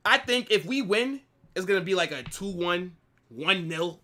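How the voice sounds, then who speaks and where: A man hosts a live football watch-along, talking casually and answering his chat. His voice sits high and sounds bright and sharp, swinging up and down as he reacts. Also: normal speed. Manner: animated banter, reading chat aloud. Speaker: live stream host.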